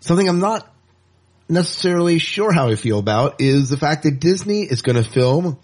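A man co-hosting a podcast, chatting casually and in an animated way about movie news. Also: on the high side, normal speed, breathy edge. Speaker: podcast co-host.

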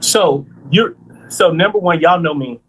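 A man in his thirties, a financial planner on a podcast, explaining things in a lively, conversational way and checking in with "right?". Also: on the high side, measured pace, a little hiss or hum, low-quality recording. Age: 30s.

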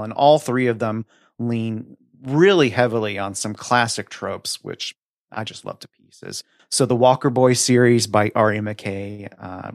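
A man with a mid-range voice in a dry, echo-free recording. He chats as a podcast co-host, emphatic and gushing with a wry edge. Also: medium pitch. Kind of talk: casual book recommendation chat.

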